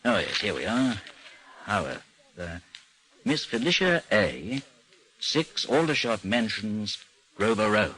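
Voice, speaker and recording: A dry bureau chief from an old radio serial, fairly low, very proper and understated. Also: male voice, big pitch swings, noisy background. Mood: matter-of-fact. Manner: measured, formal, understated. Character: composed, dry British chief of a missing persons bureau, narrating his case. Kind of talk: old-time radio drama acting and narration.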